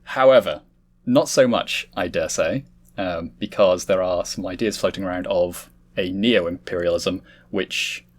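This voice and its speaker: A man's voice: low voice, unhurried. A man hosting a casual podcast discussion, talking in a wordy, explanatory way. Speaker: host leading a discussion.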